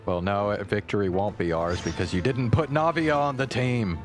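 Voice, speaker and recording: A man livestreaming games in casual, laid-back chat with a halting delivery. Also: fairly low pitch. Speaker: game livestreamer. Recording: game music behind voice.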